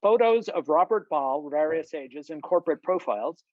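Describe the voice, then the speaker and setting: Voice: medium pitch, expressive, moderate pace, no echo, highs cut off. A narrator reading screenplay stage directions and scene headings in a scripted style. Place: close, dry room on a video call.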